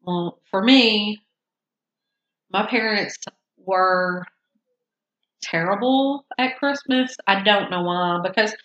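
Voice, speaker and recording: A woman's voice: medium pitch, takes it slowly, pauses often, fairly bright tone. Congested woman, podcast co-host, casual rambling chat, echoey and a bit muffled. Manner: rambling, self-interrupting, laughs often.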